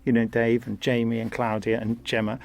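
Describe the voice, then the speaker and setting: A man's voice: medium pitch, flat delivery, a little fast, creaky, a little mellow. A man talking casually in an interview, explaining things with frequent conversational asides. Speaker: audio drama maker.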